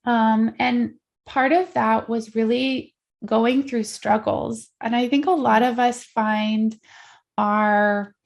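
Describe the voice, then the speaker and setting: A woman's voice: a little high, fairly even intonation, slow pace, vocal fry. A woman from the Netherlands, a life coach guest, talking casually and reflectively in an interview.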